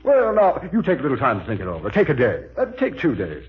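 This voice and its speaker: Smooth, lively glad-handing political boss. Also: male voice, medium pitch, fast, faint music behind. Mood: smooth, persuasive. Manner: hearty, flattering, ingratiating. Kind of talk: 1940s radio comedy acting. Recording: muffled vintage 1940s radio recording.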